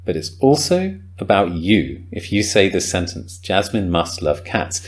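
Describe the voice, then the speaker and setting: A man with a slightly low voice talks through grammar for a podcast. He thinks aloud, with frequent ums and likes, and sounds keen when an idea clicks. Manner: thoughtful, enthusiastic, many ums and likes. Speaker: podcast host and English teacher. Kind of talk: casual explanatory podcast talk.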